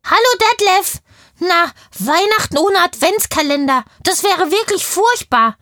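Little kindergarten girl played by a woman for children's audio play: high voice, curious, cheeky, chatty, close dry sound. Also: animated, a little slow, sharp tone, no echo, full character voice. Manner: childlike slang, emphatic, cheeky.

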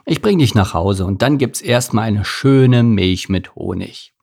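Storybook bear, played by a male reader: big-hearted but bossy, gravelly growl, blunt orders. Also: measured pace, darkish tone. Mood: gruff, reassuring. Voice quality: deep, growly. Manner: blunt, commanding. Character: deep gruff bossy male bear, good-hearted rescuer. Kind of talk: children's storybook narration.

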